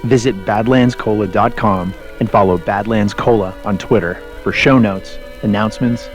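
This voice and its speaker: A man with a fairly low voice reads scripted credits as an announcer. Faint music plays behind him. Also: moderate pace.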